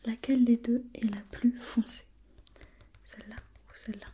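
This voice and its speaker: A woman whispering breathily in an ASMR role play, gently putting questions step by step as an examiner and softly repeating herself. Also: fairly high pitch, level tone, moderate pace, husky. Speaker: examiner in a role-played visual test.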